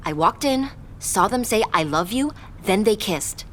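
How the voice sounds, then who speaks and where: A woman's voice: mid-range voice, a little hiss or hum. An actress playing a blunt, profane young woman anxiously spilling news on a phone call.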